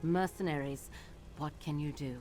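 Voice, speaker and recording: A woman's voice: sits low, normal speed, a little mellow, lightly acted. A woman voicing a haughty, evasive wizard master in a fantasy video game, imperious and dismissive. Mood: dry, disdainful. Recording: music behind.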